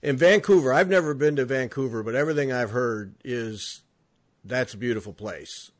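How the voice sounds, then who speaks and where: A man's voice: medium pitch, normal speed. A man hosting a podcast, chatting casually with a jokey, teasing manner as he interviews a guest. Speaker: podcast host.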